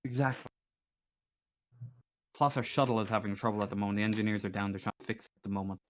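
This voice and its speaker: A man acting as game master, improvising narration and table talk in a halting way, repeating words as he thinks aloud. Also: on the low side, fairly even intonation.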